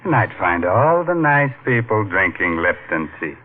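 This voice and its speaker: An actor playing a ghoulish, mocking horror-show host in a theatrical, tongue-in-cheek 1940s radio style. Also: male voice, on the low side, sing-song, measured pace, slight background noise. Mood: dryly mocking. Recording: muffled vintage radio, loud music behind.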